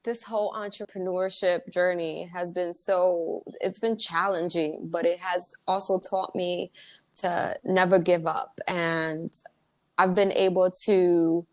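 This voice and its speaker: Earnest, low-pitched woman guest. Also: level tone, slow pace. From Dominican Republic. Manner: earnest and motivational, emphatic on key points. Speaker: author and entrepreneur guest. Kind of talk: casual interview chat.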